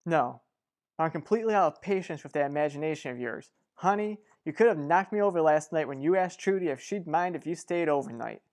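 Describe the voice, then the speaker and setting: A woman's voice: low voice, lively intonation, fairly quick, a little mellow. A woman playing a level-headed, exasperated, protective sibling in an old-fashioned radio soap opera, matter-of-fact and chiding.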